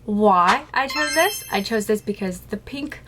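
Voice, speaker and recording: A woman's voice: mid-range voice, animated, measured pace, noisy background. A woman talking to camera about her tarantulas, chatty and playful, asking herself questions and then answering them. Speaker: tarantula keeper vlogger. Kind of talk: casual scripted talk to camera.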